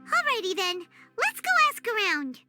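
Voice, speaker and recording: A woman voicing a tiny, chirpy, naive fairy girl companion in a video game, with a squeaky childlike voice. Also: very animated, normal speed. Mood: eager. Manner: refers to self in third person. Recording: game music behind voice.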